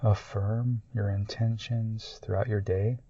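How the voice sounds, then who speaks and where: A man guides a meditation in a mellow, relaxed voice. He speaks very slowly and calmly, in short measured phrases with long pauses. The recording is dry and somewhat muffled. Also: fairly low pitch, no room sound. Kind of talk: guided meditation, slow and soothing.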